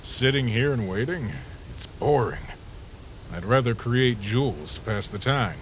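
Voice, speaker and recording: Man voicing an easygoing skeleton sentry, lively-toned. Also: medium pitch, a little slow, a little hiss or hum, lightly acted, poor audio. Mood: wistful, musing. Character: easygoing skeleton sentry who loves jokes, troubled by nightmares. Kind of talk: comic dub voice acting. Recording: music behind the voice.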